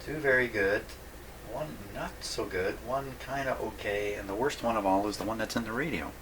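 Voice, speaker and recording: A man's voice: lowish voice, normal speed, very noisy. A man giving casual, folksy workbench commentary as a hobbyist host, musing aloud to himself. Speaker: radio repair hobbyist hosting a video.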